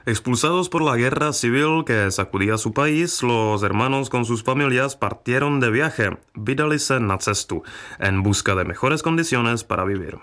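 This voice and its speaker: Bright-voiced male radio presenter. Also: mid-range voice, lively intonation, moderate pace. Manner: clear, didactic. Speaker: radio host. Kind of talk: scripted language-lesson presenting.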